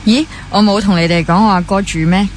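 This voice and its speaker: A woman's voice: mid-range voice, fairly even intonation, fast, a little hiss or hum, lightly acted. A young woman with a Hong Kong Cantonese accent playing a weary, driven trainee doctor and teasing younger sister in a naturalistic radio drama. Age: young adult.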